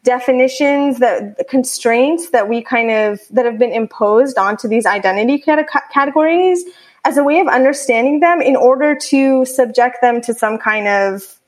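A young woman speaks in a slightly high voice, thinking aloud in a halting way. She circles back through fillers and restarts as she talks casually. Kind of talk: casual discussion.

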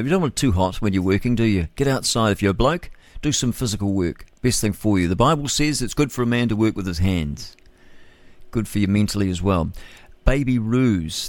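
A man's voice: fairly low pitch, no room sound. A man with a New Zealand accent hosting breakfast talk radio, rambling and opinionated as he comments on the news, with many fillers and self-corrections. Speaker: radio host.

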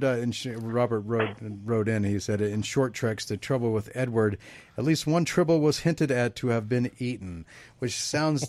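A man's voice: fairly low pitch, slight background noise. A man hosting a live broadcast in a loose, chatty way, laughing often and reading out news with plenty of fillers. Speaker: host. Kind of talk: casual live broadcast chat.

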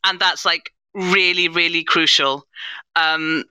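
A woman's voice: on the low side, fairly even intonation, takes it slowly, bright, forceful tone, smooth, no echo, poor audio. A woman speaking earnestly and thoughtfully as a panelist in a live discussion, often qualifying what she says. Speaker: panelist, head of inclusion at an audio drama company.

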